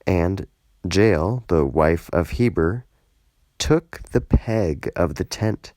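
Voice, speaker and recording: A man reading scripture as scripted narration, solemn and measured, in short deliberate phrases. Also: low-pitched, lively intonation, mellow, no echo. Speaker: scripture reader, audiobook narrator.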